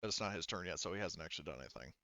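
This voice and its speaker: A man working as a game master, running a tabletop roleplaying game casually and thinking aloud in a halting way. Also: deep voice, animated, pushed and bright, no echo, highs cut off.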